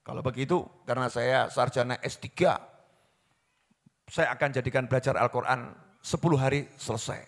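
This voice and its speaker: A man preaching a sermon, swinging from hushed storytelling to loud impassioned pleading. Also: medium pitch, steady tone, normal speed, pauses often. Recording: long hall reverb.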